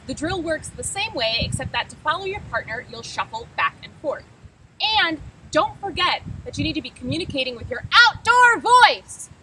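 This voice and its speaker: A woman giving upbeat, encouraging instruction as a roller derby coach and read-aloud host, projecting clearly. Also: high voice, background noise.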